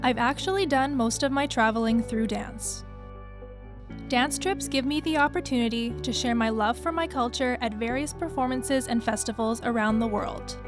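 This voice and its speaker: A young woman from Canada, a dancer, reading scripted narration in a smooth, even way. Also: a little high, normal speed. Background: music.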